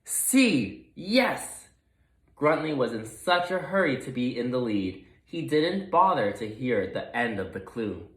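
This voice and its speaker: A man's voice: medium pitch, big pitch swings, normal speed, hardly any pauses, fairly bright tone. A man narrating a children's picture book expressively as a read-aloud storyteller, in a roomy recording.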